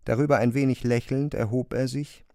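A man reading scripted literary narration for a radio drama in a measured, precise way. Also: mid-range voice, steady tone, mellow, no room sound, studio quality.

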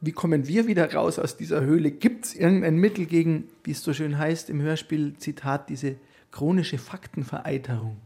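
A male radio interviewer with a slightly high voice and a husky edge. He phrases his prepared questions in a measured, articulate manner. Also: warm tone.

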